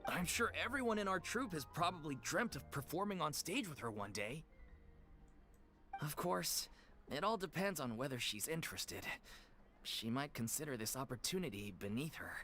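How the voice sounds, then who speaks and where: A man's voice: fairly high pitch, animated, normal speed, pushed and bright, faint music behind. A man voicing an earnest, humble male theatre troupe leader in a video game who speaks respectfully and deferentially.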